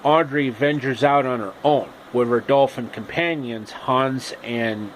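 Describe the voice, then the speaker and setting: A man telling an improvised comic retelling of a fairy tale, haltingly and repeating phrases. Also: mid-range voice, low-quality recording. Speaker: storyteller. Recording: steady background noise.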